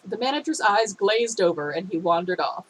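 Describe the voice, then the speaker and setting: A woman reading a fan fiction story aloud in a steady, expressive way, her voice a little phlegmy. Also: mid-range voice, normal speed, low-quality recording.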